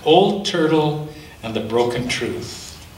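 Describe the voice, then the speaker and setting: A male pastor reading a picture book aloud to children, gently and with slow dramatic pauses. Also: a little high, very animated. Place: church sanctuary. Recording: echoey church from a distance, faint noise.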